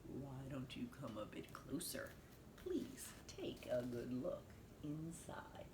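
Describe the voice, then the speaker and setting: A woman voicing a sly, menacing shark from a storybook, hushed and enticing as it lures prey closer. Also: extremely low pitch, animated, takes it slowly, pitched below the performer's own voice, heavily acted. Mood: sly menace. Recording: roomy echo with faint noise.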